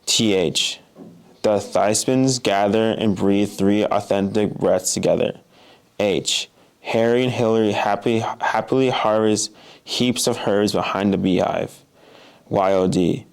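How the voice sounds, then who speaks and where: A man's voice: fairly low pitch, a little mellow, clear, resonant tone. A nineteen-year-old man with a Midwestern American accent, reading a passage and then chatting casually in an interview, with lots of "like" fillers. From Oak Park, Illinois, USA. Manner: casual, uses many "like" fillers.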